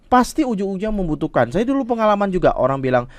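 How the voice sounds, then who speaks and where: A man's voice: high-pitched, quick pace, dry recording. A man from the Riau Islands with a Malay-tinged Sumatran accent, a communication coach explaining things animatedly in a podcast interview. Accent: Malay-tinged Sumatran Indonesian. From Riau Islands, Indonesia. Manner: explanatory, animated, acts out quoted speech.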